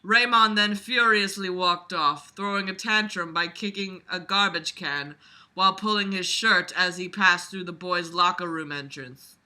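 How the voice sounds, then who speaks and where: A woman's voice: lowish voice, unhurried, sharp tone. A woman reading a book aloud and critiquing it casually, blunt, exasperated and sweary, with sarcastic commentary.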